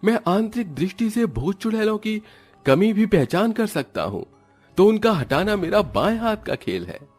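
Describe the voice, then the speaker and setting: A man's voice: sits high, very animated, moderate pace, slightly rough, faint music behind, pitched above the performer's own voice, full character voice. A man voicing a confident village exorcist holy man in a cartoon story, reassuring and a little boastful.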